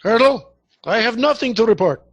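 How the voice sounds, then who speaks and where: A man plays a genial neighbourhood cop in a radio comedy, chatty and deferential. His voice is high and very animated, with a close, dry sound. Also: a little fast, husky, dry recording, highs cut off, heavily acted. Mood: cheerful, polite. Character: genial, folksy neighbourhood police officer. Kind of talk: radio comedy theatre acting.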